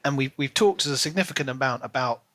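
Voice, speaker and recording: A man's voice: mid-range voice, quick pace, fairly bright tone. A man co-hosting a podcast, talking casually and enthusiastically about books with emphatic delivery. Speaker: podcast co-host. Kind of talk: casual book discussion chat.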